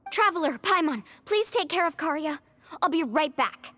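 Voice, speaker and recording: A woman's voice: sits high, animated, normal speed, sharp tone, no echo. A young woman playing a confident, caring adventure guide and surfer in a video game. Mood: urgent.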